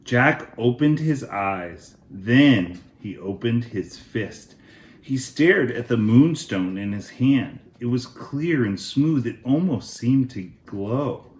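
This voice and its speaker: Slow, expressive man in an echoey room. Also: medium pitch. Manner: deliberate, expressive. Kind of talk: children's storybook narration.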